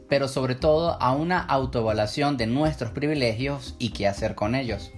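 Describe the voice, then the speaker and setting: Man, Venezuelan accent, podcast host, solo monologue, measured pace, middle pitch, faint music. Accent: Venezuelan Spanish.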